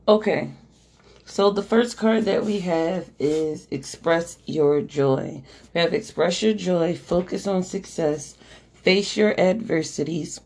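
A hoarse woman who is losing her voice hosts a podcast, reading oracle cards aloud in a chatty, slangy way and checking in with "okay?". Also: deep voice, a little mellow. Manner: chatty and slangy, swears, keeps asking "okay?" while reading card texts aloud. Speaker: podcast host and oracle card reader. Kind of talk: casual oracle card reading, live-streamed podcast.

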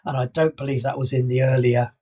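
A man's voice: mid-range voice, fairly even intonation, fast, mellow, breathy edge. A man co-hosting a podcast in casual discussion, reading out excerpts from an old radio script. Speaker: podcast co-host.

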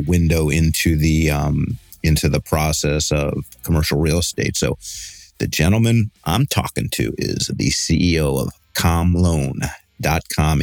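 A male podcast host with a very low voice talks in a chatty, showy way as he introduces and questions his guest. The sound is dry and close, with faint music underneath. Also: no room sound. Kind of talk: podcast interview.

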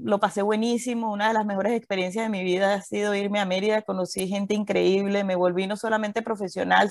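A woman's voice: medium pitch, no room sound, clean studio sound. A Venezuelan woman in her thirties co-hosting a podcast, chatting casually with many fillers and restarts. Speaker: podcast co-host.

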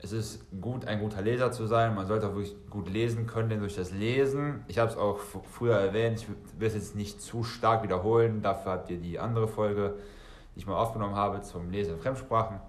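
A man's voice: lowish voice, a little fast, roomy sound. A bilingual German and Serbian man hosting a solo podcast, talking conversationally and directly to listeners, often rephrasing as he goes. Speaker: podcast host.

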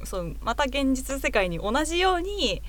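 Woman, bright forward voice, animated intonation, agreeable, chatty podcast co-host, casual fan talk. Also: high-pitched, moderate pace. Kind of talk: casual fan chat among friends.

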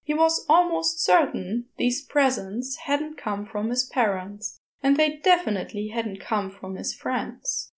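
Female story narrator, higher register, leisurely measured reading of scripted fiction. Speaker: podfic narrator.

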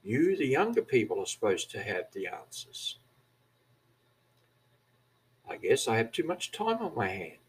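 A man's voice: mid-range voice, huge pitch swings, moderate pace, husky, rough recording. A man in his seventies from New Zealand with a Kiwi accent, rambling through a wry, self-mocking monologue. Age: 70s. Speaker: vlogger. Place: aged care facility.

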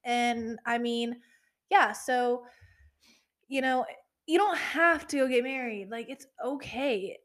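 Woman in her twenties, higher-pitched, casual true-crime podcast chat, blunt and swearing. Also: slow, a bit bright. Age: 20s. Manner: chatty, swears, laughs often, ranting asides. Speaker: podcast co-host.

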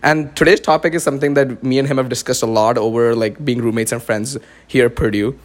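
A young man hosting a podcast in casual chat, raised in India after a US birth, talking with lots of "like" fillers. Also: mid-range voice. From born in the US, raised in India. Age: young adult. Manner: fast, filler-heavy talk with many "like"s. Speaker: podcast host.

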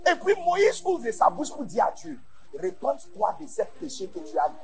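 A man from Ghana with English as his first language, preaching a sermon in French to a large conference, going from quiet asides to shouted emphasis. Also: very high voice, wildly swooping pitch, moderate pace, faint music behind. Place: large church conference hall.

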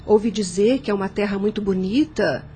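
An actress playing an elegant, mature landowner's widow in a radio drama, speaking in a formal, expressive, gracious way. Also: female voice, mid-range voice, brisk, husky. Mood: eagerly curious. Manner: formal, theatrical, expressive delivery.

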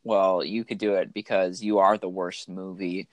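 A man with a raspy voice co-hosting a casual podcast, talking in an enthusiastic, joking, rambling way. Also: sits low, little pitch movement, moderate pace, no echo. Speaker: podcast co-host. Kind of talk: casual chat.

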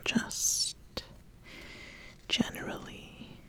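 A woman voicing an ambitious witch who calmly sizes up an apprentice in a soft-spoken, halting, deliberate ASMR roleplay. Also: no room sound. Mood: calm, probing.

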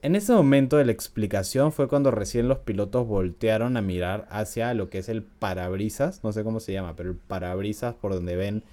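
Low, mellow-voiced man telling a story. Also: fairly quick, few pauses. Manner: chatty, laughs often, frequent "¿no?" tags. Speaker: podcast host. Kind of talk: casual storytelling podcast.

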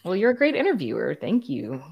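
Low, very expressive woman chatting as guest. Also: a little fast, gravelly, husky. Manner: enthusiastic, emphatic. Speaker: podcast guest. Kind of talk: casual chat.

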